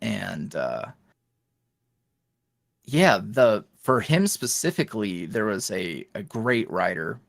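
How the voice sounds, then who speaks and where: A man's voice: on the low side, very animated, takes it slowly, gravelly, long pauses, dry recording. Male guest, chatty and meandering, dry close sound. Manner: rambling, filler-heavy, self-deprecating. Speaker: podcast guest, ASMR roleplay creator. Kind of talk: casual interview chat.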